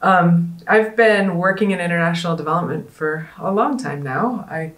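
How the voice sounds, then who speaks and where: A woman hosting a conference panel in a casual, earnest, conversational way, pausing often with ums. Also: on the low side, roomy sound. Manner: earnest, conversational, with frequent ums and trailing pauses. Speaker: panel host.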